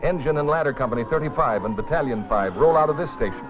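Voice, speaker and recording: A man narrating a dramatized film in a grave, deliberate scripted style with dramatic pauses. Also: medium pitch, lively intonation. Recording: dull old film soundtrack, music behind. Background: dramatic music.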